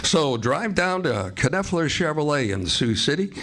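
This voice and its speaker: A man's voice: a little high, sing-song, unhurried, a bit bright. A man working as the announcer of a radio theatre show, reading introductions and sponsor spots in formal 1940s style. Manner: formal, scripted sales delivery.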